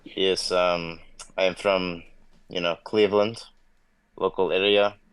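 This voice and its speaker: A man's voice: sits low, little pitch movement, slow, clear, resonant tone, no echo, poor audio. A man from Hungary, speaking formally and hesitantly as a podcast guest.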